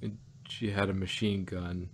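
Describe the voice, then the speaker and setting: A man co-hosting a review show in casual chat, speaking haltingly and often chuckling as he talks. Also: sits low, little pitch movement, a little hiss or hum. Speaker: podcast co-host reviewing audio dramas.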